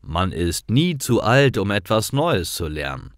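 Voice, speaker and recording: Male narrator, low voice, slow careful pacing, very varied intonation, dry recording, learner storytelling. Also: sing-song, dry recording. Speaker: narrator of language-learning stories.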